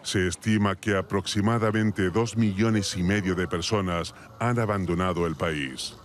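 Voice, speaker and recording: A man reading a scripted news report in a measured, formal way. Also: low voice, slight background noise.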